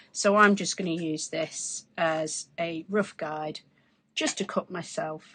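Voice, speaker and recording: A woman in her forties, hoarse from losing her voice, chatting through a craft demonstration at her desk. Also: lowish voice. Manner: chatty, explains as she works. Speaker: craft tutorial host. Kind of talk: casual step-by-step crafting demonstration. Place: craft desk indoors, overhead camera.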